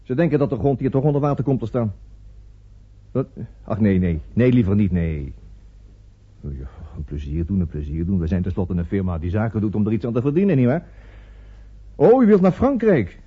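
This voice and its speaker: An actor playing a grasping, sly estate agent in a radio play, hedging with slick one-sided telephone patter. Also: male voice, on the low side, expressive, a little fast, pauses often, slightly rough. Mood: dismissive. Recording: dull, muffled old radio recording.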